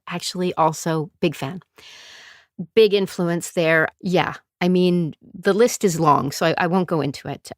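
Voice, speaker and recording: A woman's voice: on the low side, no room sound, clean studio sound. A woman from Calgary hosting her podcast, talking in a chatty, digressive, self-deprecating way and laughing often. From Calgary, Canada. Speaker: podcast host and performer. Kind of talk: casual solo podcast monologue.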